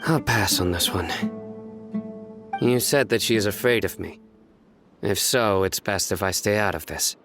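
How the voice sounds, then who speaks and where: An intimidating male warrior from an anime video game. He speaks low, with a stern, serious authority and few, blunt words. Music plays behind him. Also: a little slow, pauses often.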